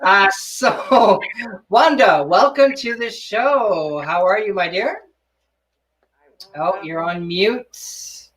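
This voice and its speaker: A woman's voice: mid-range voice, lively intonation, drawn-out words, far from the mic, poor audio. A woman hosts a live online show in a casual, rambling way, stumbling over words as they come. The voice sits away from the microphone on a video call, with faint noise behind it. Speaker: host of an online social show.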